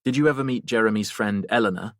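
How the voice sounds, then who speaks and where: Guy doing a calm polite cop asking questions, bland low voice, audiobook. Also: quick pace, dry recording, studio quality, lightly acted. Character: calm, courteous male police detective asking questions. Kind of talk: audiobook character voice.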